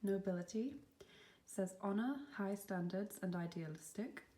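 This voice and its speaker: A young woman with a cold and a slightly sniffly voice, giving a gentle, reassuring tarot reading with some softly whispered asides. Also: medium pitch, a little slow, slight background noise. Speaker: tarot reader. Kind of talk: pick-a-card tarot reading.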